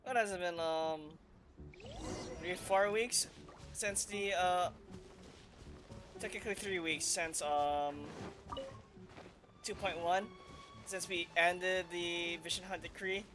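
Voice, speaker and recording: A man's voice: high-pitched, steady tone, takes it slowly, long pauses, music in the background. A man casually commenting while playing a video game, rambling and hesitant with many fillers.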